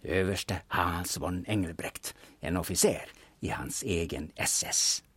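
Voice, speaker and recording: An actor playing a cynical, blunt, secretive grave robber in an audio drama, telling things in a dry manner. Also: male voice, low-pitched, a bit bright, breathy edge, no echo. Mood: intense storytelling.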